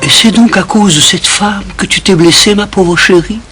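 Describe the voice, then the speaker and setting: A woman's voice: mid-range voice, normal speed, close to an everyday voice. A woman voicing a tender, sorrowful giraffe mother in a French cartoon dub. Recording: loud music under the voice.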